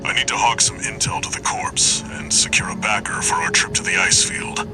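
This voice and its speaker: An actor playing a gruff, curt, commanding mercenary handler in a video game, terse and matter-of-fact with a breathy voice. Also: male voice, on the low side, huge pitch swings, a little fast, low-quality recording. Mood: brisk, commanding. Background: game music.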